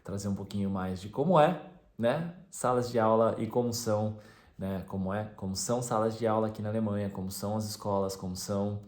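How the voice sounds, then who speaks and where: Young Brazilian teacher-vlogger, slightly low voice, loose chatty delivery full of fillers, roomy echo. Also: male voice, a little fast, a little mellow. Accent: Brazilian Portuguese. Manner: rambling, frequent "né" fillers and restarts. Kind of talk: casual vlog monologue.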